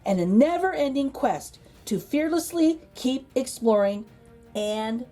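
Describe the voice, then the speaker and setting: A woman reading a children's picture book aloud as a storytime host, theatrically, with dramatic pauses and emphasis. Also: high-pitched, expressive, dark tone, faint music behind.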